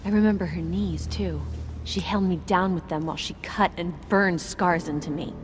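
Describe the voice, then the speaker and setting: A woman's voice: on the low side, steady tone, normal speed, a little hiss or hum, lightly acted. An actress playing a wounded, distrustful young woman in a video game drama, speaking in an intense, bitter and guarded manner. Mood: haunted, bitter.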